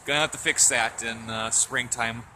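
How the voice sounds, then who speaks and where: Man, bright forward voice, medium pitch, casual hobbyist vlog, hesitant thinking-aloud delivery, outdoors with faint background noise. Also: fairly even intonation, normal speed, low-quality recording. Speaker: hobbyist vlogger. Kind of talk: casual unscripted show-and-tell. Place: outdoors in a yard in winter.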